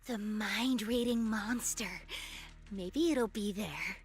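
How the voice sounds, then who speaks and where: A woman voicing a whimsical, excitable young girl fighter in a video game, with theatrical flair. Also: medium pitch, slow pace, faint music behind. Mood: dreamy. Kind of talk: English video game voice acting.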